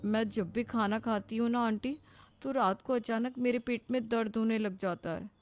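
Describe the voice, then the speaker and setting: A woman's voice: a little high, steady tone, moderate pace, darkish tone, no echo, faint music behind, natural voice. A woman voicing a snobbish young modern party friend in a cartoon, chatty and condescending. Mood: complaining.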